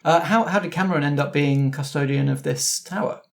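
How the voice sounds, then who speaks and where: A man's voice: a little high, a little fast, vocal fry. A man narrating a tabletop role-playing story as game master and putting questions to the players.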